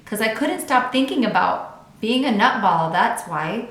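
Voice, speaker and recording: A woman's voice: fairly high pitch, lively intonation, unhurried, fairly bright tone. A woman voicing a blunt, fretful young schoolgirl who narrates a children's storybook with childlike, plaintive emphasis. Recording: echoey untreated room.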